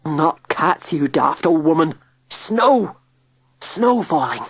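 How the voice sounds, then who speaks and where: A worried old countryman, gasping and fretting, with a rough, grumbling way of talking, as a woman reader puts him on. The voice sits only a little above her own and sounds husky. It is recorded close and dry for a storybook reading. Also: low-pitched, sing-song, slow, no echo, heavily acted. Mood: fretful grumbling. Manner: rustic, fretful, gasping. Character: frightened, gruff old man.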